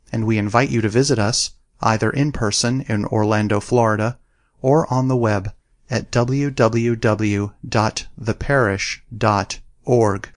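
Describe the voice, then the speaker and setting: A man reading a formal recorded announcement for a parish sermon series in a measured way. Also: fairly low pitch, no room sound, clean studio sound.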